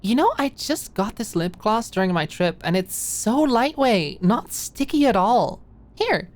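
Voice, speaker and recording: Woman voicing a sweet girlish young woman, pitched up. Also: big pitch swings, unhurried, darkish tone. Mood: playful, intimate.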